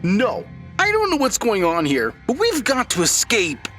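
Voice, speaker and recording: A man voicing a bewildered, desperate young man who sounds strained, high and very expressive. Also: normal speed, faint music behind, exaggerated voice. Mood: panicked.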